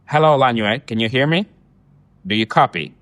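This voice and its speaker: A man's voice: medium pitch, normal speed, fairly bright tone, no echo. A man presenting and demonstrating a product in an explanatory, repetitive way.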